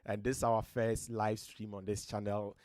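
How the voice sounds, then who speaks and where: A Ghanaian man with a deep voice, giving earnest, motivational talk as host of a live stream from his home studio, his voice a little strained. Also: little pitch movement, normal speed, darkish tone, dry recording. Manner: earnest, motivational, emphatic rises. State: voice slightly strained.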